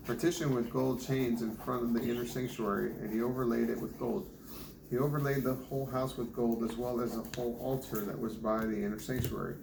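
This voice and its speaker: A man reading scripture aloud as a Bible reader, sometimes stumbling and rereading words. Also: medium pitch. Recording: noisy, echoey home recording with clicks.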